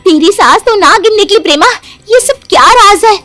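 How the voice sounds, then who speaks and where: Woman as a high-pitched, excitable village busybody. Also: normal speed, soft background music, heavily acted. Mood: suspicious. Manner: dramatic, easily alarmed. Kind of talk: dramatised moral story.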